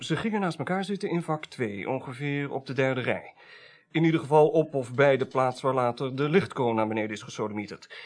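A courteous parliamentary clerk in a 1980s radio drama. His voice is fairly high for a man, and he reports things precisely and formally in a Netherlands Dutch accent. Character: courteous male parliamentary clerk giving witness testimony. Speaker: actor in a radio play.

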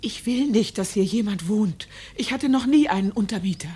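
An actress playing a stubborn, sorrowful, reclusive farm woman in a radio drama, with a husky, terse delivery. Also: medium pitch, expressive, normal speed, warm tone. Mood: guarded, dismissive. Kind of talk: German radio drama acting.

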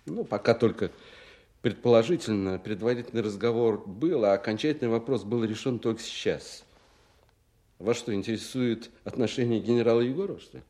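Actor as brusque Soviet colonel, mellow voice. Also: male voice, fairly low pitch, fairly quick, natural voice. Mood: firm, explaining. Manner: firm, clipped, insistent officer's delivery. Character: brusque, authoritative male Soviet colonel, busy and firm. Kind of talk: Soviet radio drama acting.

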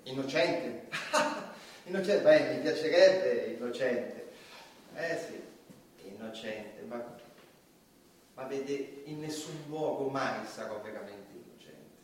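Mid-pitched man, defiant sardonic comedian on trial, ironic, emphatic, echoing, faint noise. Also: expressive, slow pace, long pauses. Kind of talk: theatrical courtroom drama. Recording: very echoey, distant, faint noise.

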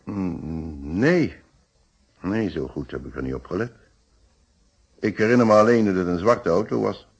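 A man's voice: low voice, animated, a little slow, long pauses, a little mellow, breathy edge, dull audio, exaggerated voice. An actor playing a hesitant, polite middle-aged married man answering questions as a witness in a Dutch radio play. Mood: careful, cooperative.

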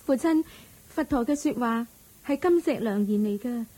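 An actress playing an earnest, devout young daughter who pleads respectfully in a Cantonese radio drama, with a Hong Kong Cantonese accent. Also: female voice, a little high, animated, normal speed, mellow. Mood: earnest, pleading.

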